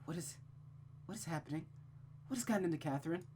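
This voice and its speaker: A woman's voice: deep voice, lively intonation, fast talker, warm tone, slight background noise, voice pushed up. A woman voicing a worried, loyal young woman who anxiously defends her friend in a visual novel read-aloud. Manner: anxious, earnest, questioning.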